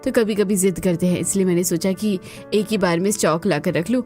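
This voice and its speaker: A woman voicing the women of a cartoon household with an expressive, theatrical delivery. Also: fairly low pitch, a little fast, faint music behind, natural voice. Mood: pleased. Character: women of a cartoon household, scheming young daughter-in-law and exasperated elders. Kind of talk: dramatised cartoon dialogue.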